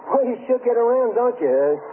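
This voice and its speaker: An actor in a 1940s radio drama playing a cynical city tough guy with a soft heart, talking in slangy, ungrammatical speech. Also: very high-pitched, lively intonation, brisk, slight background noise. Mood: bewildered. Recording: muffled old radio broadcast, music behind.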